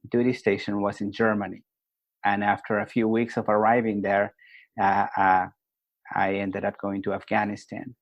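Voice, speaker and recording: A man in his forties from Peru, a guest telling his own story slowly and reflectively, with many pauses and fillers. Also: lowish voice, dull audio. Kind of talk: personal storytelling in an interview.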